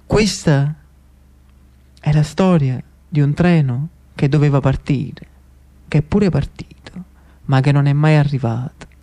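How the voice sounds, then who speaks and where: High-voiced Neapolitan man telling a story. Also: mellow. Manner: dramatic, lyrical, pausing on repeated short phrases. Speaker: actor-storyteller. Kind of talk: theatrical narrative monologue in Italian with dialect.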